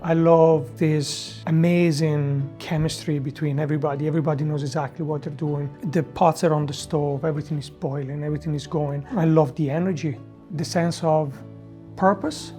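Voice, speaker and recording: A restaurant manager with an Italian accent, speaking in a halting, deliberate way in a reflective documentary interview. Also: mid-range voice, steady tone, dark tone, faint music behind. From Italy.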